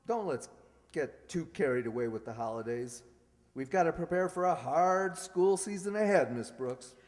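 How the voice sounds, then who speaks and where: A man's voice: fairly high pitch, lively intonation, normal speed, darkish tone, lightly acted. A man playing a pompous, blustering school principal in an old-time radio sitcom, with a theatrical delivery. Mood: stern. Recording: long hall reverb.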